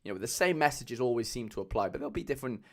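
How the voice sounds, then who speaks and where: A young man with a Cardiff accent chatting casually as a livestream host, loose and rambling with lots of fillers, speaking into a mic on a boom arm at home. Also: animated, rapid-fire. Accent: Cardiff Welsh. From Wales. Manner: chatty, loose, many fillers, calls viewers lads.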